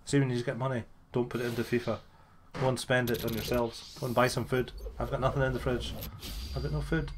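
A man's voice: mid-range voice, flat delivery, fast, a little hiss or hum. A man giving casual, sweary gameplay commentary as he makes a video, grumbling and sarcastic. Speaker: gamer making a video.

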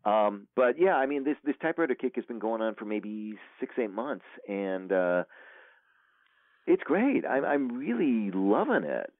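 A man's voice: medium pitch, sing-song, measured pace, no echo. A man who is an author, chatting casually in an interview, rambling with many fillers and restarts. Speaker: author guest. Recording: dry, tinny phone line.

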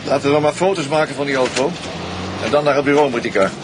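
Casting: male actor as tired, sleepless detective, Netherlands Dutch, matter-of-fact, mid-pitched, radio play with clear background noise. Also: fast talker, close to an everyday voice. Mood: weary, explaining. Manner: matter-of-fact, clipped questioning. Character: weary, sleepless male police detective investigating murders. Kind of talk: naturalistic radio drama acting.

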